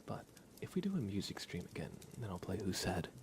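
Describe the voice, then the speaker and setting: Man, ASMR livestream host, creaky low register, hushed, soft-spoken, gentle chat. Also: very animated, noisy background. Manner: hushed, often whispering, slow and gentle delivery to viewers.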